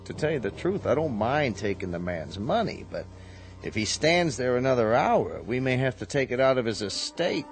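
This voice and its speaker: An actor in a radio drama playing an easygoing, sympathetic casino employee with a casual, folksy manner. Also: male voice, medium pitch, sing-song, moderate pace, soft background music. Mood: wry concern.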